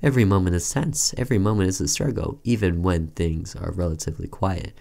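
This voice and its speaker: Low-voiced man doing casual let's-play. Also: fairly quick, gravelly, darkish tone. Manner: informal, reacts aloud to what is on screen. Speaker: gaming video host reading a game's text aloud. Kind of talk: casual let's-play commentary with read-aloud narration.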